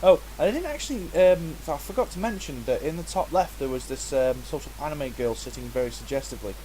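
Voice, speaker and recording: A man's voice: fairly high pitch, expressive, brisk, dark tone, slight background noise, low-quality recording. A man chatting through a game he is playing, self-mocking and talkative, sounding stuffy from a cold. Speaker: gaming channel host. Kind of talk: casual gameplay commentary.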